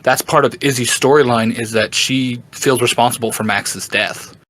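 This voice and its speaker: A man's voice: mid-range voice, steady tone, moderate pace, a bit bright, poor audio. A man chatting casually as a podcast guest, explaining story lore in an informal, enthusiastic way. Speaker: podcast guest, fantasy book fan.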